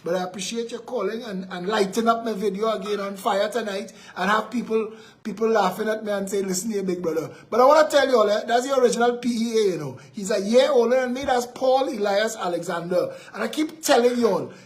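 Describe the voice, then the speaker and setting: A man's voice: sits high, quick pace, few pauses. A man with a Trinidadian accent hosts a live political talk stream. He speaks emphatically and repeats his phrases to drive points home. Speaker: live show host.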